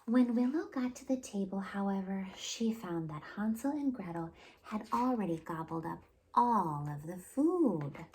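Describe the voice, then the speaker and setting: A woman with a mellow voice reads a picture book for children. She takes her time and narrates deliberately and expressively, as a storytime host. There is some faint noise on the recording. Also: medium pitch, lively intonation, poor audio.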